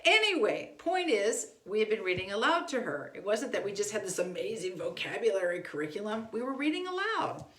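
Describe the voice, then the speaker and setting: A woman's voice: mid-range voice, sing-song, brisk, hardly any pauses, fairly bright tone. A middle-aged woman gives an informal instructional talk, speaking conversationally and leaning on repeated words for emphasis. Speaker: homeschool instructor. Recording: echoey, roomy sound.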